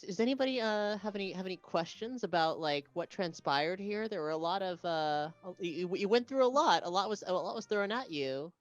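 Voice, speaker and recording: A woman hosting a casual livestream chat, speaking haltingly and often repeating her words. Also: mid-range voice, expressive, no echo, highs cut off. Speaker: livestream host.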